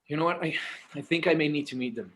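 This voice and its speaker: High-pitched, earnest, stammering son at the end of his patience, male actor, table read. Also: quick pace, a bit bright, lightly acted. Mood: nervous. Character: anxious, exasperated adult son and schoolteacher.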